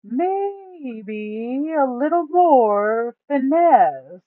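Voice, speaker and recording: A greedy, nonchalant fantasy treasure hunter from a tabletop adventure tale, played by a woman. The voice is pitched up and loud, with words drawn out slowly and bluntly. The sound is thin, like a phone line. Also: very animated, no room sound, full character voice. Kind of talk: acted fantasy story reading.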